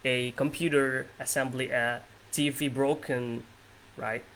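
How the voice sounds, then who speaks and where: A man in his twenties from Indonesia, hosting English speaking practice in a relaxed tone with lots of fillers. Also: mid-range voice, measured pace, slight background noise. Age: 20s. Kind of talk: casual conversation practice.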